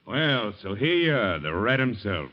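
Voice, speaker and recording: A man playing a bitter, vengeful former cop in a 1940s radio drama, gruff and threatening. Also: on the low side, sing-song, measured pace, background noise. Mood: menacing. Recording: muffled 1940s radio broadcast.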